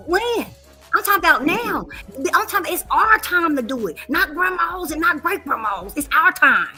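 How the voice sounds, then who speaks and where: A woman's voice: very high voice, big pitch swings, moderate pace, sharp tone, soft background music. A woman in her forties arguing her point in a heated casual debate, emphatic and profane, repeating phrases for stress. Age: 40s.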